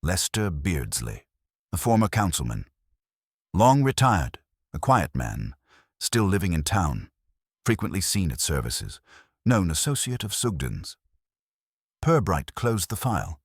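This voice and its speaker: A man's voice: deep voice, very animated, measured pace, pauses often, breathy edge, dry recording, clean studio sound. A man reading scripted narration of a detective mystery story.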